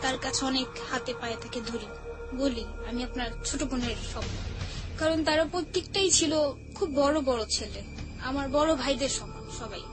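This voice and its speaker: High-voiced, tearful young Bangladeshi woman over music. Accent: Bangladeshi Bengali. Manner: tearful, halting recounting. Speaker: guest. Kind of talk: personal testimony. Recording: music under the voice. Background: background music.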